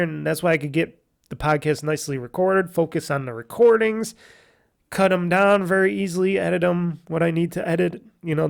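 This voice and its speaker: A man with a raspy, nasal voice hosting a casual solo podcast on a USB microphone, rambling and correcting himself as he goes. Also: fairly high pitch. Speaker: podcast host.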